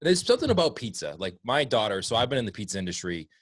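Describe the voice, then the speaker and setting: A man's voice: lowish voice, big pitch swings, no room sound. A man hosting a podcast, upbeat as he welcomes his guests and asks them questions. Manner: upbeat, fast-talking host. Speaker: podcast host. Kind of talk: podcast interview.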